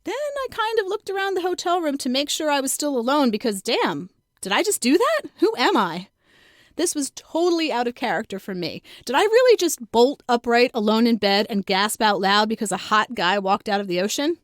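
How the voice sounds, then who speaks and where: A woman reading her own first-person comic story in a conversational, wry, expressive and self-mocking way. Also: fairly high pitch, a little fast, dry recording, studio quality. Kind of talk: scripted first-person comedic narration.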